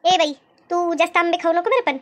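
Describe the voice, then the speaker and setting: Lady doing a super high squeaky cartoon village woman, gossipy and good-humoured, rural maharashtra accent. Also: brisk. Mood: chatty. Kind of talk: animated cartoon comedy dialogue.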